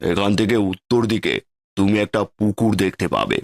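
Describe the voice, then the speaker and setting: A noble fairy prince from a cartoon tale, calm and stern, as a male narrator gives him voice. The voice is lowish and measured, recorded very dry and close. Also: vocal fry, no echo. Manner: measured, authoritative.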